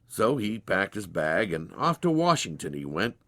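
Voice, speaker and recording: A man narrates a storybook tale in a folksy way. His pitch moves up and down with lively expression. The sound is dry, with very little room in it. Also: moderate pace, dry recording.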